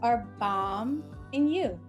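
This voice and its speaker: A woman's voice: fairly high pitch, animated, slow pace, faint music behind. A woman co-hosting an interview, bubbly and enthusiastic as she explains a game. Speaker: interviewer, co-host. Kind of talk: interview chat.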